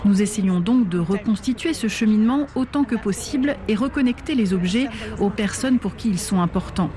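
A woman's voice: medium pitch, moderate pace, a crowd in the background. A woman reading the translated words of an interviewee as a news voice-over.

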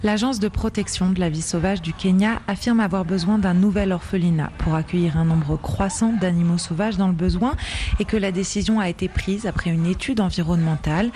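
A man's voice: high voice, brisk, dark tone, a little hiss or hum. A man reporting from an outdoor protest for the radio news in a formal way. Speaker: field reporter.

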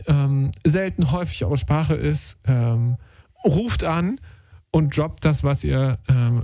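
A man's voice: mid-range voice, warm tone, no echo, clean studio sound. A man hosting a radio call-in show, chatting casually in slangy, informal language.

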